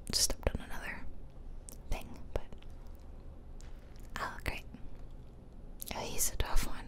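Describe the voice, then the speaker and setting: Woman, soft whisper, ASMR game commentary, close mic, chatty, self-deprecating. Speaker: gaming ASMR host. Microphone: close ear-to-ear ASMR microphone.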